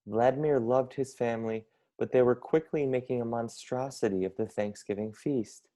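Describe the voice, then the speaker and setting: A man's voice: mid-range voice, normal speed, warm tone. A young man narrating a children's picture book as a scripted read-aloud. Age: young adult. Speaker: storybook reader, college student.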